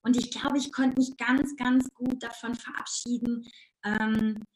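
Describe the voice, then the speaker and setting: A woman coach from the Ruhr area with a Ruhr German accent, talking in a casual interview, lively and bubbly, with words tumbling out and frequent laughs. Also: on the high side, unhurried, reverberant, rough recording.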